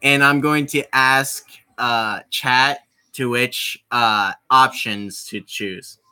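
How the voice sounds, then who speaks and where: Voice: medium pitch, fairly even intonation, slow, sharp tone, clear, resonant tone. A streamer chatting casually with viewers while playing a visual novel, rambling with many restarts and apologies.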